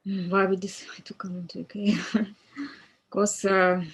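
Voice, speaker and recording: A woman from Ukraine, a musician guest speaking with phrasing and pauses to search for words. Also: mid-range voice, little pitch movement, husky. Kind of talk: casual interview chat.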